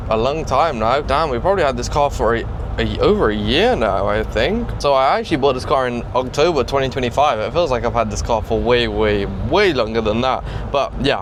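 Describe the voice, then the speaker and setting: A young man in his twenties talks casually and chattily straight to his viewers, with a middle-pitched voice. He's recorded on a handheld camera, and steady street and traffic noise comes through clearly behind him. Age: 20s. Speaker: vlogger. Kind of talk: casual vlog chat. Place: back seat of a car, then a busy mall.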